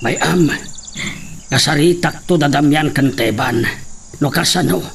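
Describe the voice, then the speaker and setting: An actor in a radio drama playing a steady middle-aged father, grave and consoling. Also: a little high, measured pace, breathy edge. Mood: grave, reassuring. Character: steady, consoling father of a grown daughter, a laborer. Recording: faint noise with cricket-like chirping.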